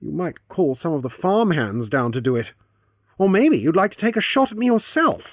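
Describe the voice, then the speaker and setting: Man playing a harsh bitter young man, loud, tinny dry sound. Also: fairly high pitch, big pitch swings, moderate pace, no room sound, pitched above the performer's own voice. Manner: harsh, forceful commands. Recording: tinny phone-band audio.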